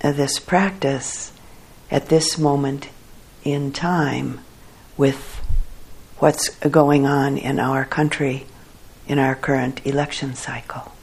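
Very slow, husky woman guiding meditation. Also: a bass voice, low-quality recording. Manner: calm, measured, with long pauses. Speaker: meditation teacher. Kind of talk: guided meditation instruction to a group.

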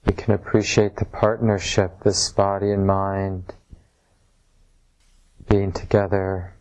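A man with a fairly low, very even voice guides a meditation. He speaks in short, measured phrases and leaves space between them, teaching in a gently instructive way. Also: low-quality recording. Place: room at a retreat center.

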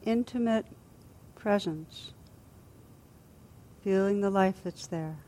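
Woman softly guiding a meditation. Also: medium pitch. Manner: gentle, soothing, unhurried with long pauses. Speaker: meditation teacher. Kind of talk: guided body scan meditation.

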